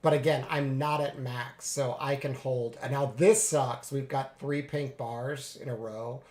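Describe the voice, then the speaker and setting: A man getting over a cold, a little congested, narrating his live trades in a relaxed way with many fillers. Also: mid-range voice, measured pace, reverberant. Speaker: futures day trader streaming live. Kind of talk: live trading commentary.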